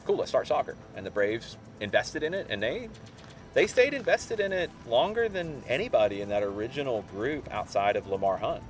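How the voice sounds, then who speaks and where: A man's voice: medium pitch, big pitch swings, moderate pace, faint music behind. A man in an informal interview, explaining local soccer history in a conversational way.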